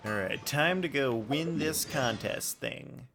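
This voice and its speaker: A man's voice: medium pitch, expressive, takes it slowly. A man streaming a video game, giving casual running commentary as he plays. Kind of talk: casual live gameplay commentary. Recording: game music behind.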